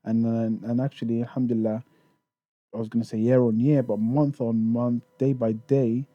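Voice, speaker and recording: A man's voice: mid-range voice, level tone, moderate pace, dry recording, dull audio, faint music behind. A man raised in the UK, talking casually as a podcast guest about touring in Japan, with many restarts and fillers. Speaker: guest, Japan tour organizer.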